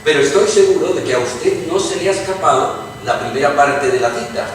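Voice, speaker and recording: An actor plays a precise, formal gentleman debater in radio theatre. His voice is slightly high. It carries from a distance through a very echoey hall with faint noise. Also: lively intonation, brisk, lightly acted. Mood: assured. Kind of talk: dramatized stage reading, radio theatre.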